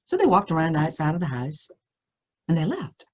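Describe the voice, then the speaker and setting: A woman reading a spooky first-person story aloud, with suspenseful, deliberate phrasing and dramatic emphasis. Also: low voice, wildly swooping pitch, darkish tone.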